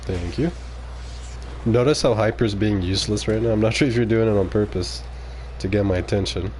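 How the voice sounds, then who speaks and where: Low-voiced casual male game streamer. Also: fairly quick, a little mellow, a little hiss or hum. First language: French. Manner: casual, reacting aloud to the game, muttering asides. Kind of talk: live gameplay commentary.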